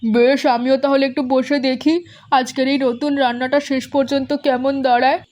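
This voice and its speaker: A woman voicing a fond, practical village mother in a cartoon who speaks gently and scolds lightly. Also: sits high, level tone, fairly quick, natural voice. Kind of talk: cartoon voice acting.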